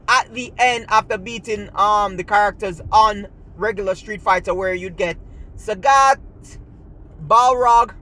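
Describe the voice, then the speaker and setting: A man from Jamaica who keeps his Jamaican accent talks straight to his audience in a heated, rambling rant. His voice sits high and sounds bright and tense. He restarts his sentences often as the feeling runs ahead of the words. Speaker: gaming channel host. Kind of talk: casual ranting commentary to camera.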